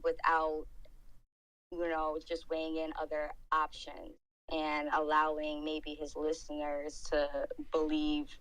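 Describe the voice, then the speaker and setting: A woman calling into a live chat room, giving measured, thoughtful opinions with frequent pauses. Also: low voice, fairly bright tone, dry recording, poor audio. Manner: measured, thoughtful, with many ums. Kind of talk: casual opinion talk.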